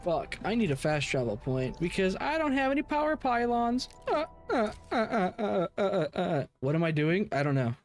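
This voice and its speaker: Male game streamer, swingy animated delivery, slangy and foul-mouthed, casual chat over faint music. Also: high-pitched, moderate pace, few pauses. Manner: sweary, slangy. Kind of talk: casual livestream chat while gaming.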